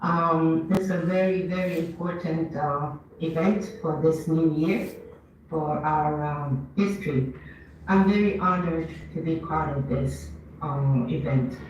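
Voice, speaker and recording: A speaker from Ethiopia giving an earnest, measured commemorative speech at an event, lifting their voice on key words. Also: medium pitch, steady tone, a little hiss or hum. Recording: dull, echoey hall, mic far off.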